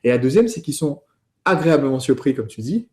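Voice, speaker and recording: Male course host, mellow mid-pitched voice, conversational explainer who thinks aloud. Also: sing-song, brisk. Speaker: host of a business training course. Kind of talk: conversational teaching, interviewing a colleague.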